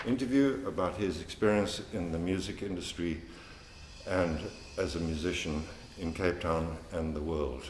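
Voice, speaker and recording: A man, working as an interviewer and speaking in a measured, formal way. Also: sits low, a little mellow. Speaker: interviewer, library staff. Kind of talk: formal oral-history interview. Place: indoors in a library room. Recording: echoey room with background noise.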